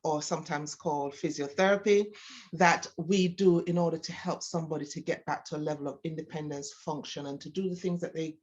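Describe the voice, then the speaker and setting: A woman's voice: low-pitched, fairly even intonation, brisk, a little mellow, muffled recording. A woman with a Jamaican accent answering interview questions as a physiotherapist, explaining and talking about herself. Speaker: physiotherapist guest.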